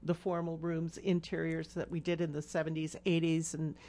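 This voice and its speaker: A woman with a somewhat low voice speaks as a design professional in conversation. Her phrasing is measured and articulate, explaining things in full sentences. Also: level tone, warm tone. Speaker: interior and exterior designer, guest.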